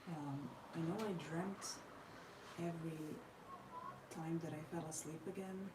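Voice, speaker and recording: A tired woman from Croatia with a Croatian accent, chatting casually while she draws, speaking in measured, formal phrases with frequent pauses. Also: low voice, steady tone. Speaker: artist vlogger. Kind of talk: casual chat while drawing. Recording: roomy, distant mic with noisy ambience.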